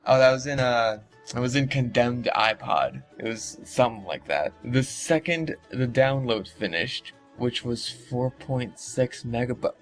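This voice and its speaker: A man's voice: medium pitch, expressive, a little slow. Sarcastic man reading aloud over music. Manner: sarcastic, incredulous, profane. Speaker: host reading and mocking internet horror stories.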